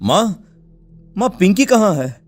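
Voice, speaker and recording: A man playing a distraught, pleading village father in an animated folk tale. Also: sits high, slow pace, soft background music, exaggerated voice. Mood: panicked. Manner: pleading, lamenting. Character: distraught village father searching for his lost daughter.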